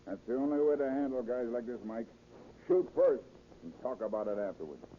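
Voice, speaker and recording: An actor playing a merciless, blunt police detective in the hard-boiled manner of 1930s radio. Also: male voice, mid-range voice, a little fast, close to an everyday voice. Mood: callous. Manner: tough, callous, blunt. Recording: muffled, noisy 1930s radio recording.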